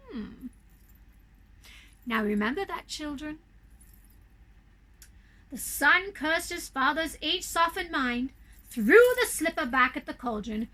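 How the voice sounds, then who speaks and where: Slow, high-voiced woman telling children's stories. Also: bright, forceful tone, low-quality recording. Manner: theatrical, deliberate. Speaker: children's storyteller. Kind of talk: expressive storybook narration for children.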